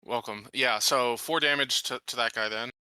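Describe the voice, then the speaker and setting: A man's voice: medium pitch, moderate pace, bright, forceful tone, clear, resonant tone, dry recording. A man talking casually as a player in a tabletop role-playing game. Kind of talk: casual gaming chat.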